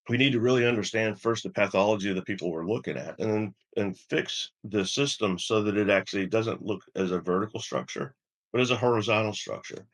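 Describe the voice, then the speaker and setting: A mature man, a medical executive, answering an interview in a measured, explanatory way, halting and repeating words as he builds his points. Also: sits low, a bit bright. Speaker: health system chief medical officer, interview guest.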